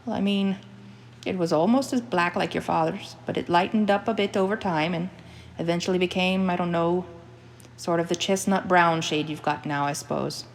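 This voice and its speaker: A woman's voice: lowish voice, steady tone, moderate pace, gravelly, slight background noise. A woman voicing an exasperated older Scottish mother with a thick accent. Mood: vague.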